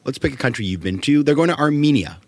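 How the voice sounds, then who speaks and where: A man's voice: medium pitch, animated, fast talker, no room sound. A man hosting a podcast interview in a conversational style, recorded in a studio.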